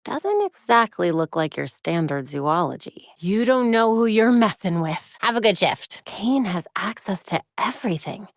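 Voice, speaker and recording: A woman playing a sharp, determined young American vigilante heroine in a video game, with a wry, quippy delivery. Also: medium pitch, expressive, normal speed, few pauses, dry recording, clean studio sound, close to an everyday voice. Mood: wry, focused. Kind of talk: acted video game voice lines.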